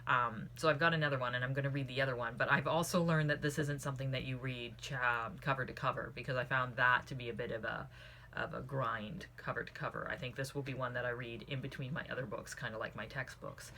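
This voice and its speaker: A woman with a notably low voice talks through a book review casually. She is earnest and opinionated, and tends to wander off on tangents. A light layer of background noise sits behind her. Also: fairly even intonation, fast talker, bright, forceful tone. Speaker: book club host reviewing a book. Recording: clearly audible background noise.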